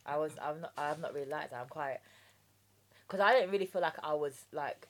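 A woman in her thirties with a London accent talks through her experience as a guest on a podcast. Her voice sits low and deep. She is chatty and frank, and she keeps checking in with "do you know what I mean". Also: brisk. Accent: London English. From Jamaica. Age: 30s. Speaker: guest entrepreneur. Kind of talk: casual podcast interview.

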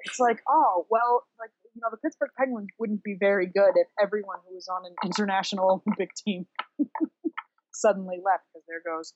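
A woman's voice: mid-range voice, fairly quick, lo-fi sound. A woman co-hosting a podcast, musing casually and a little wryly about sports. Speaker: podcast co-host.

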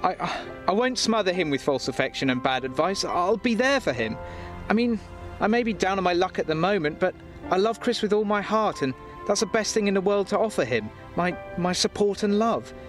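A man's voice: sits high, lively intonation, fairly quick, soft background music. An actor playing a flustered, earnest young father down on his luck in a comedy audio drama, stammering and pleading.

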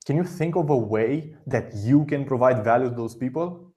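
Guy hosting a podcast, mellow low-key voice, direct and encouraging, keeps repeating words. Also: moderate pace. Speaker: podcast host. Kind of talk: conversational advice interview.

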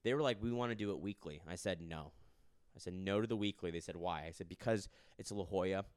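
A low-pitched man talks quickly on a casual podcast. His voice is smooth and close, with a dry, dead room around it. He tells his stories by slipping into the voices of the people in them. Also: no room sound. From Arizona. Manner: storytelling, acts out quoted people. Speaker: podcast host and stand-up comedian.

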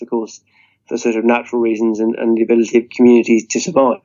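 A man with a mellow, relaxed tone makes an earnest political argument. He works through his points steadily and often leans on "you know". The audio sounds dull and lo-fi. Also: mid-range voice. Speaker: interview guest. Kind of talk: political discussion.

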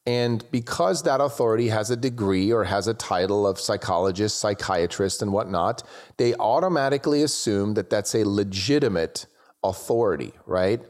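A man's voice: fairly low pitch, expressive, normal speed, a little mellow. A man from Switzerland hosting a conversational interview. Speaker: interviewer, show host.

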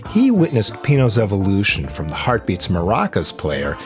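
A man hosting and narrating a radio documentary in a smooth, scripted storytelling delivery. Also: lowish voice, wildly swooping pitch, moderate pace. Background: music playing underneath.

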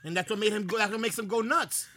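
A man's voice: high-pitched, steady tone, quick pace, bright, forceful tone. A man with a New York accent bantering on a podcast, emphatic, argumentative and full of slang and swearing. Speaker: podcast co-host. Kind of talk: casual, profane podcast banter.

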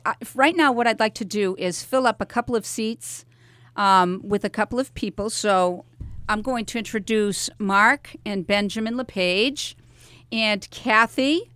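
A woman's voice: mid-range voice, fairly bright tone, no room sound. Chatty woman hosting live radio, dry studio sound. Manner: chatty, encouraging, informal. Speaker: radio host. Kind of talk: casual live studio chat with guests.

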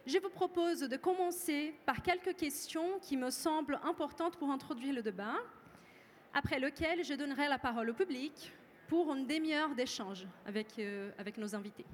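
High-voiced woman moderating in a reverberant hall. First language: Portuguese. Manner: measured, reads prepared remarks, switches to Portuguese. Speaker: moderator of a public debate. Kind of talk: formal event moderation. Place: lecture hall with audience. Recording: reverberant hall, faint room noise.

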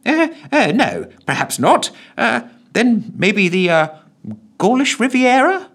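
A man's voice: very high-pitched, sing-song, takes it slowly, a bit bright, pitched above the performer's own voice, heavily acted. A man putting on a blustering pompous minister. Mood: flustered. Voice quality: blustering, flustered. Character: pompous blustering male defence secretary.

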